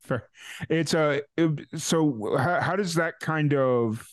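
A man hosting a casual podcast interview, relaxed and loose, with lots of "uh" and trailing "so". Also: fairly high pitch, slow pace, no room sound. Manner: relaxed, many "uh" fillers and trailing "so". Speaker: podcast host.

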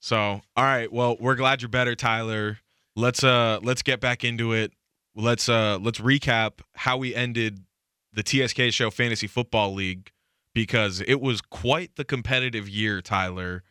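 A man's voice: medium pitch, steady tone, moderate pace, bright, forceful tone, smooth, no echo, clean studio sound. A young American man chatting casually as a podcast co-host, informal and supportive. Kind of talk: casual chat.

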